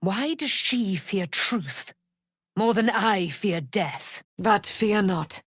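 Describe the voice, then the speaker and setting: An actress playing a proud, righteous immortal warrior, daughter of a moon goddess, with grandiose, formal delivery in a fantasy video game. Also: female voice, mid-range voice, expressive, slow, a bit bright, husky, no echo, clean studio sound, heavily acted. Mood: resolute, grave.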